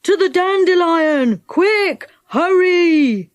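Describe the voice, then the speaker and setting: An eager, excitable male adventurer from a children's storybook, acted by a woman reader. The delivery is exclamatory and bossy, swinging widely in pitch with a dry, close sound. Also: takes it slowly, no room sound. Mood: urgent. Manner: exclamatory, urgent.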